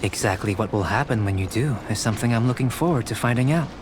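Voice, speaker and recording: A man playing a calm, curious young alchemist in a video game, measured and formal, with music behind him. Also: mid-range voice, background noise, lightly acted. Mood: intrigued. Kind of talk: video game character acting.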